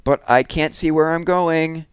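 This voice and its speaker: A man's voice: fairly high pitch, fairly even intonation, a little slow, smooth, dry recording, clean studio sound, voice pushed up, lightly acted. A man voicing a soggy, sorry-for-himself male teddy bear in a storybook, plaintive and earnest. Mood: reassuring, muffled-up.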